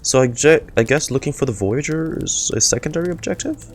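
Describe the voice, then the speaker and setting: A man's voice: on the high side, lively intonation, fairly quick, a little hiss or hum. A man streaming a game, reading dialogue aloud and reacting to it as he goes. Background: game music.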